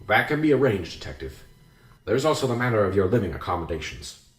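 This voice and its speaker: An actor playing a steady, dutiful small-town police investigator in a radio drama, measured, formal and dry. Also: male voice, fairly low pitch. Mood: businesslike. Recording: echoey room.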